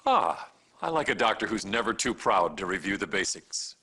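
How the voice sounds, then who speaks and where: A stern senior doctor in a game cutscene. The voice is husky and sharp, on the low side. He is condescending and authoritative, admonishing with dry approval at best. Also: sing-song, moderate pace, full character voice. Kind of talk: acted game cutscene dialogue addressed to the player.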